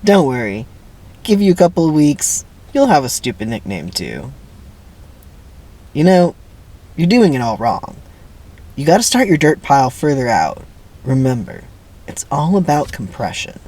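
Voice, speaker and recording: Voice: medium pitch, big pitch swings, unhurried, long pauses, warm tone. A person voicing a cocky fifteen-year-old boy camper in a dramatic reading, slangy and know-it-all.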